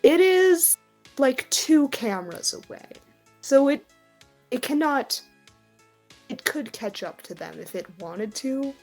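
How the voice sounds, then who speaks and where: A woman's voice: high voice, very animated, slow pace, pauses often, darkish tone, faint music behind. A woman game master who improvises narration for a tabletop game and explains the scene in a conversational way.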